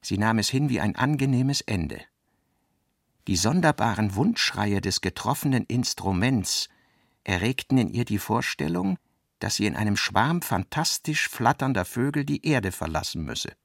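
Guy narrating a literary radio drama, creaky voice, very dry studio sound, measured delivery. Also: lowish voice, sing-song, no echo. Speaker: narrator, reader of literary text and notes.

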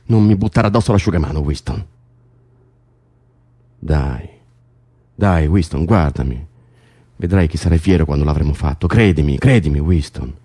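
An actor playing a bossy, coaxing prisoner in a naturalistic radio drama, insistent and teasing, often repeating himself. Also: male voice, rumbling low, normal speed, long pauses, dark tone. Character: bossy, coaxing, excitable male prisoner rehearsing a play.

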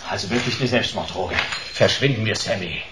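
Actor as a low, gruff private detective. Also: male voice, fairly quick, background noise, close to an everyday voice. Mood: tense. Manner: terse, colloquial, grumbling. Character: gruff, reluctant hard-boiled male private detective. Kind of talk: sci-fi detective radio play.

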